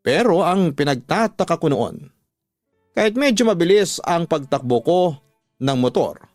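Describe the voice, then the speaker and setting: A man narrating a first-person radio drama story in an earnest, measured storytelling manner. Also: mid-range voice, no echo. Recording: dry voice over music bed.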